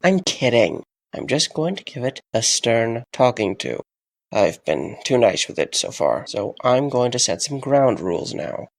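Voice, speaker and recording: Man acting young man, audio drama, gravelly creak, animated pitch, weary, dry humor, stubborn. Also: measured pace, exaggerated voice. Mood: determined, dry. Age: young adult.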